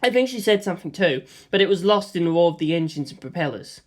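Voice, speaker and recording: A reader telling an adventure story in the first person, moving through the scenes in a steady, plain way. The voice sits at an ordinary middle pitch and stays even and unhurried from line to line. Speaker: audiobook reader.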